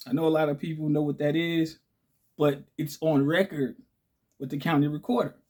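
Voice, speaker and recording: A man hosting his own channel in a casual, chatty way, with a raspy voice, often checking in with "okay?" and "right?". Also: fairly high pitch, steady tone, normal speed, darkish tone. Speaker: channel host. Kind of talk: casual direct-to-camera talk.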